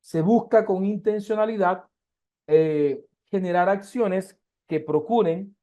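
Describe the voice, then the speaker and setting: A man's voice: high-pitched, takes it slowly, warm tone, highs cut off. A man gives an online lecture on research methods. He works through everyday comparisons and asks his students rhetorical questions as he goes. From Colombia.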